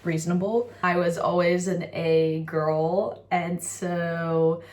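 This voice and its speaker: Young adult female, American accent, slightly low register; casual student-vlogger delivery. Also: flat delivery, slow, darkish tone. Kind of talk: casual vlog chat with voice-over recaps.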